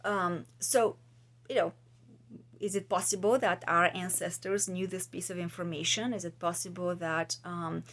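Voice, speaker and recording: A woman's voice: fairly low pitch, steady tone, normal speed, a bit bright, slight background noise. A woman talks casually and reflectively to viewers, musing and often searching for her words. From Bulgaria. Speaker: vlogger and podcast host; trauma practitioner.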